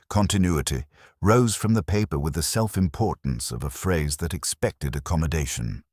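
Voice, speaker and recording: A man narrating a literary detective story in a measured, dry and formal manner, as for an audiobook. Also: extremely low pitch, a little mellow, dry recording.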